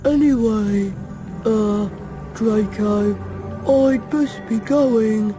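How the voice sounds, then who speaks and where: Slow-speaking, earnest schoolboy hero. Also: mid-range voice, over music. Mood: nervous, evasive. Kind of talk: video game voice acting.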